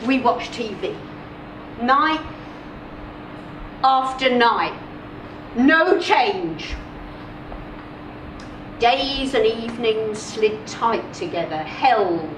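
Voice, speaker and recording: A woman performs a first-person story in a high voice, expressive and deliberate, leaving measured pauses between phrases. She is recorded at a distance in an echoing room, with clear background noise. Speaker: reader of a short story. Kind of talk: dramatic first-person story reading, live literary performance.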